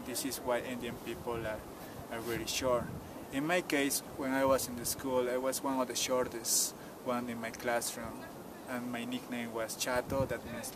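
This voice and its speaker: A man's voice: medium pitch, noisy background. Man telling a story outdoors. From Peru, Cusco countryside. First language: Quechua. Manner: relaxed, frequent "you know", simple phrasing. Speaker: tour guide. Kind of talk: casual storytelling interview. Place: outdoors at a mountain site. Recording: distant outdoor mic with loud ambience.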